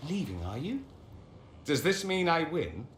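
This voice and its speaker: Smug, wildly animated gamesman. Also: a little high, a little slow, echoey room, slight background noise. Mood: mock-offended, taunting. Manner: arch, mocking. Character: smug, theatrical male gamesman who loves teasing. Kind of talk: dramatic game dialogue.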